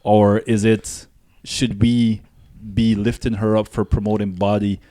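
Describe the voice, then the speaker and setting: A man from Guyana co-hosting a casual opinion discussion, building his points deliberately with asides and questions. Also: on the low side, flat delivery, dark tone. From Guyana, moved north when young. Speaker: podcast co-host.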